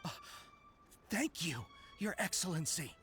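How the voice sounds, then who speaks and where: Young male soldier, loyal, chastened, deferential, animated intonation, video game character, faint music behind. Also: fairly high pitch, a little slow. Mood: grateful, resolute. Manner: earnest, respectful military formality.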